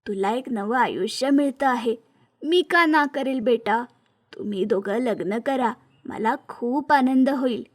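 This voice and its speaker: Caring, anxious older mother of a grown daughter, played by a woman narrator, for an animated tale. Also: a little high, unhurried, pitched below the performer's own voice. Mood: glad, supportive.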